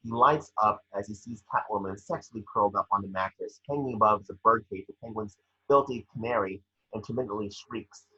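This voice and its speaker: A man reading scene descriptions as the narrator of a scripted table read, with a husky voice. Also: fairly low pitch, brisk, few pauses, bright, forceful tone, muffled recording. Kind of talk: scripted narration of screenplay action.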